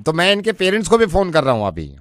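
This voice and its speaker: A man's voice: high voice, wildly swooping pitch, fast, fairly bright tone, dry recording, clean studio sound, exaggerated voice. A man playing a chatty, teasing clinic doctor on a prank phone call, mixing English into his Hindi. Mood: officious, threatening. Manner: code-switches between Hindi and English.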